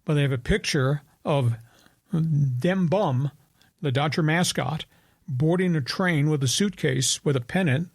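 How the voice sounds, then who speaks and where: A gravelly older man in his seventies hosts sports talk radio and wanders through opinionated stories with plenty of restarts. His voice sits a little high for a man, and the studio sound is dry and close. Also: no echo. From New York. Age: 70s. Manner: storytelling, opinionated, rambling with restarts.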